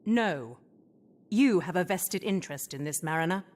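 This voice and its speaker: An actress voicing a firm, distrustful companion in a fantasy video game, curt and disapproving. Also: female voice, fairly low pitch, lively intonation, moderate pace, lightly acted. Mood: stern. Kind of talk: dramatic video game voice acting.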